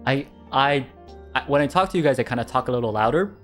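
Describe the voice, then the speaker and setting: A young man streaming casual chat in a quiet voice that doesn't project, rambling, repeating himself and laughing often. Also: mid-range voice, expressive, fast, faint music behind. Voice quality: quiet voice that does not project.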